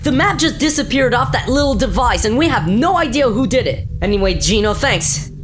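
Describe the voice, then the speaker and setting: A woman's voice: a little high, animated, brisk, noisy background, pitched above the performer's own voice, lightly acted. A woman playing an insistent, exasperated spaceship captain with an urgent, questioning manner in a comic radio play.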